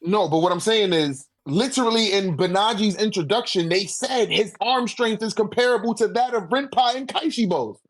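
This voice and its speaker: A man's voice: high voice, normal speed, hardly any pauses. A man in a casual debate, talking in heavy slang with swearing and a repeated check that the listener follows. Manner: slangy, profane, repeats "you know what I'm saying". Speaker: debater on a manga ranking podcast.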